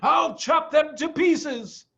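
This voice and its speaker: A tiny, pot-bellied, belligerent storybook villain, voiced by a man, shouting in a raised pitch with drawn-out words. The recording is a little dull. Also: heavily acted. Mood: aggressive, mocking.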